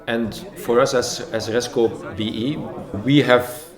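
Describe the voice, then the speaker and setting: A man's voice: mid-range voice, fairly even intonation, slow. A man who coordinates the project's pilot schemes, explaining them in a documentary interview. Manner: explanatory, with small restarts. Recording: echoey room with loud guitar music.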